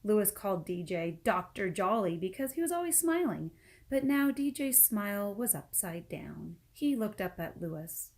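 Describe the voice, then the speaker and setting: A woman reading a children's book aloud as an expressive storyteller. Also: medium pitch, moderate pace. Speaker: storybook reader.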